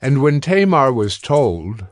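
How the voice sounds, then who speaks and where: A man narrating scripture from a script in a measured, solemn manner. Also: medium pitch, big pitch swings, dry recording, studio quality.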